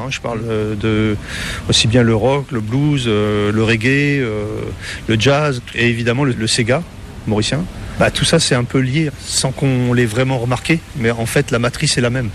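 A man's voice: medium pitch, a little hiss or hum. A middle-aged man with a Parisian French accent, chatting informally as an interview guest and telling casual anecdotes. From Paris, France. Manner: informal, often ends phrases with "quoi".

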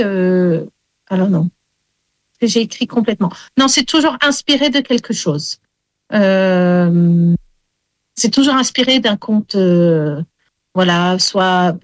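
Woman storyteller, English first language, halting and hesitant delivery, dry close sound. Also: medium pitch, dry recording. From Wales. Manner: hesitant, many fillers. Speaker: storyteller and singer. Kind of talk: interview.

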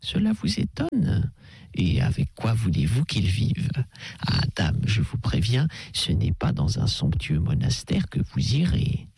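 Man doing an old priest, whispery, breathy, gravelly, slow, coaxing. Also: on the low side, wildly swooping pitch, dry recording, studio quality, full character voice. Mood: gently persuasive. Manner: soft, half-whispered reassurance. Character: kindly older priest gently coaxing, male. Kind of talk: audiobook character voice.

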